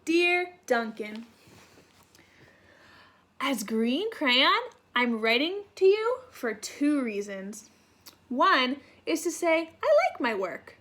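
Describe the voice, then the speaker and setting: Storybook crayon, male, courteous and content, high and slow, read by a woman. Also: big pitch swings, fairly bright tone, full character voice. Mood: contented, earnest. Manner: courteous, earnest. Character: happy, contented, peacemaking male green crayon. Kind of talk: children's storybook read-aloud.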